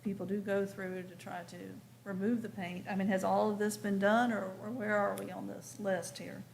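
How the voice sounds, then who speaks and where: Female commission chair, gravelly fry, businesslike and direct, clear background noise in a meeting room. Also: mid-range voice, little pitch movement, moderate pace. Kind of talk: formal meeting procedure and questioning.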